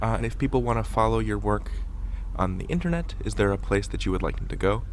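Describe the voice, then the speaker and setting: A man's voice: on the low side, animated, quick pace, slight background noise. Playful male podcast host with swooping delivery. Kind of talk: scripted podcast narration and interview.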